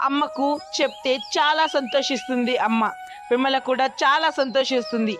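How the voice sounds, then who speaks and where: A woman voices a hopeful young man in a children's moral story. The pitch is high for the part, and light music runs underneath. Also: moderate pace, close to an everyday voice. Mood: happy, hopeful. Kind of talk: animated children's story character acting.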